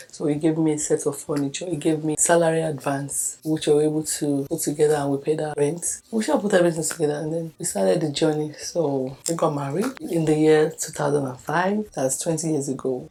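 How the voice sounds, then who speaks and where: A woman's voice: low voice, mellow, husky, low-quality recording. A Nigerian woman in her fifties, a native Yoruba speaker, telling her life story for a documentary and acting out the conversations she recalls. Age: 50s. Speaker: documentary subject. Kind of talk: personal life story told to camera.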